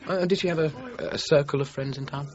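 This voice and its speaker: An actor playing a courteous, methodical police chief inspector who questions politely and deliberately in a radio crime drama. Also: male voice, fairly high pitch, highs cut off, close to an everyday voice. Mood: probing.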